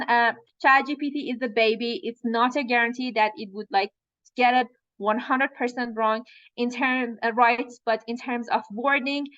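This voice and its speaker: A woman's voice: on the high side, a bit bright, no room sound, lo-fi sound. A woman whose first language is Farsi, coaching a live online training and explaining at length with frequent fillers.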